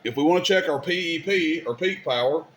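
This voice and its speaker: A man's voice: on the high side, brisk, slight background noise. A man hosting a casual, hands-on technical demonstration, speaking informally and directly to viewers in a folksy way. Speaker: video host demonstrating CB radio gear.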